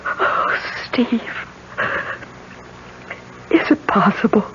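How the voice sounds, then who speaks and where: An actress playing a frightened young newlywed wife in a 1970s radio drama, hesitant and earnest, on an old radio recording. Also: female voice, fairly high pitch, animated, extremely slow, fairly bright tone, full character voice. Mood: anxious disbelief. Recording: dull old radio recording, faint noise.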